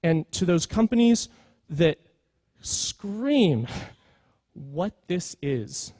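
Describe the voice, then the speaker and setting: A man delivers a conference talk in a conversational, earnest style. His voice sits a little high yet is relaxed and mellow. The sound is close and dry, and he stresses his points informally. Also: very slow, no echo. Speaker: conference speaker, creative executive. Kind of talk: conference presentation, conversational storytelling.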